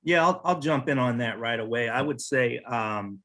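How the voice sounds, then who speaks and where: A man with a medium-pitched voice speaks as a mentor on a panel. His delivery is earnest, encouraging and conversational, with deliberate phrasing. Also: fairly bright tone. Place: virtual panel over video call.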